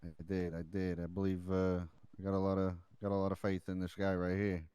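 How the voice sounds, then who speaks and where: Man acting as a deep, mellow, halting mobster. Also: completely flat delivery, clean tone, dry recording, lightly acted. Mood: respectful. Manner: halting, hedging, repeats words. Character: deferential male mobster reporting to his boss. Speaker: role-play gamer playing a mob underling. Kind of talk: improvised crime role-play dialogue.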